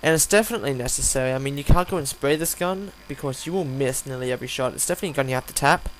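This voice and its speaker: A tired man with a croaky voice gives casual, unscripted game commentary, rambling and hesitant as he reviews. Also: mid-range voice, rapid-fire, rough recording. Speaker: gaming video host reviewing a weapon. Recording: home recording with audible hum, background noise.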